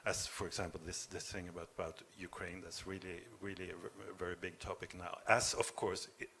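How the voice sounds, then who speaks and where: A man from Norway answering at a panel discussion, speaking haltingly with many repeated words. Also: sits low, level tone, gravelly, sharp tone, a little hiss or hum.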